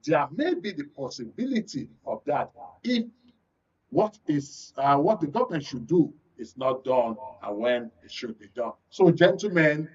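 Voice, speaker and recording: A man with a Nigerian accent hosting a current affairs discussion, explaining in a measured way. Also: fairly high pitch, animated, husky, distant mic, highs cut off. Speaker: radio and TV talk show host.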